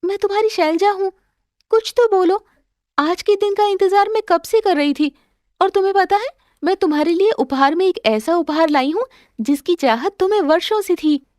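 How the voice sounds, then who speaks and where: Woman playing a high-pitched, devoted young wife. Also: moderate pace, a little mellow, no echo, clean studio sound. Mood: anxious pleading. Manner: earnest, pleading, sentimental. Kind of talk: Hindi cartoon moral story dialogue.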